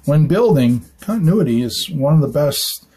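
Husky-voiced man chatting through a building detail. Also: fairly high pitch, expressive, normal speed, warm tone. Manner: chatty and informal, thinking aloud with fillers and tag questions. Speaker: architect explaining building details. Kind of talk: casual technical explainer. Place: small studio room with dark curtains.

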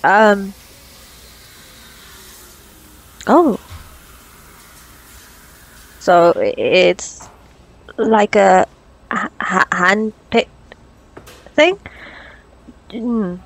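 A woman playing a flustered, curious doctor on a date in an ASMR roleplay, stammering nervously and haltingly. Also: mid-range voice, expressive, creaky, heavily acted, rough recording. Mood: curious, awkward. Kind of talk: ASMR roleplay audio drama.

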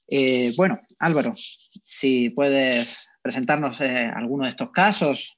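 A man in his twenties moderating an online panel and introducing speakers, a little halting, over video-call audio. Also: mid-range voice, fairly bright tone, highs cut off. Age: 20s. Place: indoor room, video call. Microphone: earpiece headset on video call.

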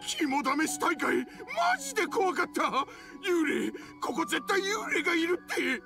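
Game role: terrified male henchman, shrill, cracking wail with swooping pitch. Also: sing-song, measured pace, vocal fry, over music, exaggerated voice. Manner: wailing. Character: panicky, frightened male gang henchman.

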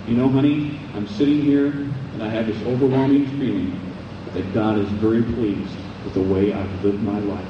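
A man giving an earnest evening sermon to teenagers at a camp, hoarse and losing his voice. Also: medium pitch, background noise. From United States. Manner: earnest, exhorting. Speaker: camp speaker. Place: camp chapel with a live audience. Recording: echoey dull 1980s live tape from far off.

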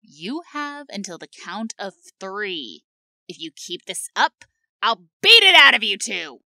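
A woman playing a foul-mouthed, aggressive young female hellhound in an animated audio drama, snarling and sarcastic. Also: mid-range voice, unhurried, vocal fry, no room sound, exaggerated voice. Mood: menacing. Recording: loud background music.

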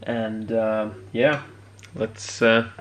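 A man giving a casual hands-on demonstration as a hobbyist, often pausing mid-phrase. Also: fairly low pitch, fairly even intonation. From Sweden. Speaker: amateur radio hobbyist presenter. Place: indoor home electronics workbench.

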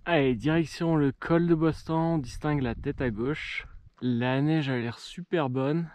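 High-voiced man narrating a ski tour. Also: a little slow. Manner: brief, upbeat trail commentary, swears casually. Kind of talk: casual narration while ski touring. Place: snowy mountain slope outdoors. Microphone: action camera held at arm's length. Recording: loud wind and snow noise outdoors.

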